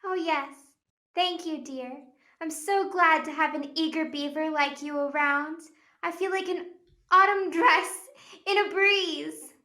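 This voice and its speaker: Woman playing a shrill, cranky old mother. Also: unhurried, sharp tone, echoey room. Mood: sweetly airy. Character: cranky sharp-tongued elderly mother, insulting her grown child. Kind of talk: 1940s radio comedy acting.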